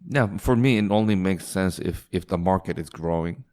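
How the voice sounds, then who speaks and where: A man's voice: deep voice, normal speed, darkish tone. A man who grew up in Singapore, a startup founder chatting casually as an interview guest and often restarting his phrases. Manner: restarts phrases, quotes others in a lighter voice.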